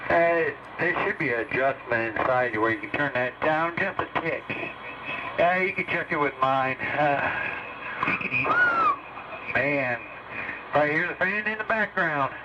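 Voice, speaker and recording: A man chatting casually over CB radio and giving hobby tips in a halting, folksy, rambling way. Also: a little high, lively intonation. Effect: heard over CB radio. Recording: dull, noisy CB radio transmission.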